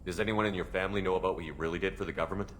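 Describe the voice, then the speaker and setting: Man playing a deep-voiced, steady game hero. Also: a little hiss or hum, lightly acted. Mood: curious. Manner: direct, measured questioning. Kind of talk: video game dialogue acting.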